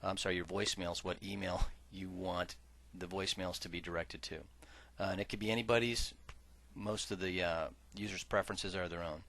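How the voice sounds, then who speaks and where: A man working as a software trainer, narrating an instructional screen-share tutorial in a measured, explanatory way that stresses key words. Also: low-pitched, fairly even intonation. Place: voice-over for a screen recording.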